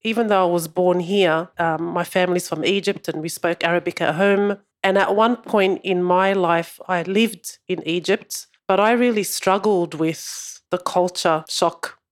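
A woman's voice: on the low side, fairly even intonation, a little slow, few pauses. A woman interviewing older migrants, asking questions and affirming their answers. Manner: encouraging, affirming. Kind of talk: oral history interview.

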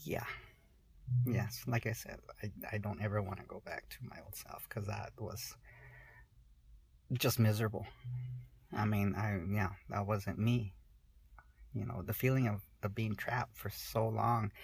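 Gravelly, husky woman in her forties. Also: extremely low pitch. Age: 40s. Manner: halting, many restarts, soft-spoken. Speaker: interviewee. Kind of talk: candid personal interview.

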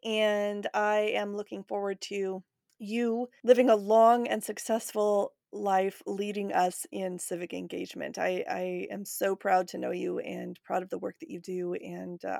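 A woman's voice: mid-range voice, little pitch movement, unhurried, creaky, darkish tone, no room sound, studio quality. A woman in her late forties hosting a podcast in a casual, conversational way. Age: late 40s. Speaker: podcast host.

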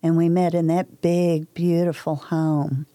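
Soft-spoken older woman, hesitant. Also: lowish voice, little pitch movement, a little slow, dry recording. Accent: Kentucky. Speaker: interview guest. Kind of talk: casual reminiscing interview.

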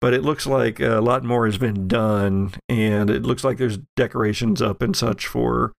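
An American man improvising narration as a game master for a tabletop game, in a halting, meandering way. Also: medium pitch, steady tone, creaky. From Texas, USA.

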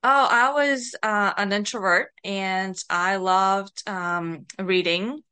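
A middle-aged woman with a Bulgarian accent answers interview questions casually. Her voice is bright and smooth. She speaks slowly and hesitantly, in a giggly manner. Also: mid-range voice, steady tone. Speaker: podcast guest, author and writing coach.

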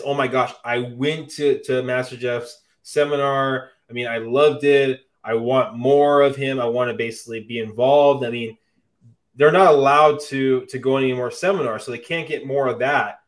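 A man's voice: medium pitch, moderate pace. A man interviewing a guest, asking loose, rambling questions that he keeps rephrasing and tagging with "right?".

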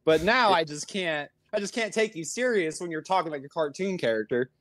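Male chat guest, fairly high voice, wry rambler given to mocking mimicry. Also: lively intonation, brisk, fairly bright tone, no echo. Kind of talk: casual chat.

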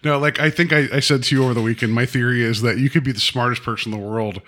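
A man's voice: medium pitch, steady tone, fast talker, a bit bright. A man co-hosting a podcast with casual, jokey banter and a rambling way of talking. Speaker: podcast co-host. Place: office used as recording studio.

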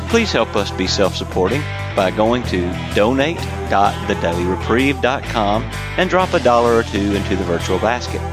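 Man, low voice, steady scripted announcement, guitar music behind. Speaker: podcast announcer. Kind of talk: scripted podcast intro. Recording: clear music bed under the voice.

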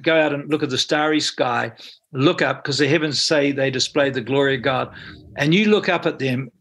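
Older man, new zealand accent, gravelly voice, earnest rambling answers, lots of restarts. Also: mid-range voice, fairly bright tone. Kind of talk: interview answers.

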